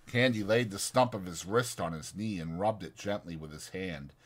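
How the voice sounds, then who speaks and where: A man's voice: sits low, moderate pace. A man reading a scripted story as an audiobook narrator.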